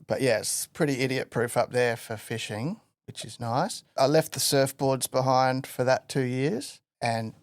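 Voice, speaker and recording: Relaxed, rambling man. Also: medium pitch, a little slow, hardly any pauses, no room sound. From Western Australia. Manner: relaxed rambling storyteller with many fillers. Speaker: guest artist. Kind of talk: casual podcast interview.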